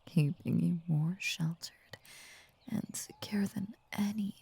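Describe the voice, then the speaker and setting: A woman voicing a tender, possessive lamia in an intimate ASMR roleplay, whispering slowly and soothingly with formal, coaxing words. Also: fairly low pitch, dark tone, heavily acted. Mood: tender, doting. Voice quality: breathy, whispery. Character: possessive, tender female lamia, half snake, doting and unnerving.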